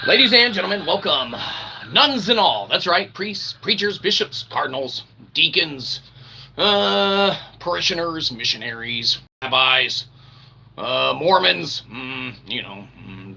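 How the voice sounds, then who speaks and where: Animated higher-pitched man, mock-grand show host. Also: creaky, slightly rough, low-quality recording. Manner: mock-grand showman, repeats "okay" as a tag. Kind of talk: rambling comedic monologue to livestream audience.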